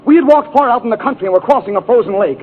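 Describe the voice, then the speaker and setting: High-voiced man hamming on old radio. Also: animated, very fast. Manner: mock-romantic hammy recitation. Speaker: comedy show star. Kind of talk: 1940s radio comedy monologue. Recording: tinny 1940s radio broadcast with band and audience. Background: orchestra music and studio audience.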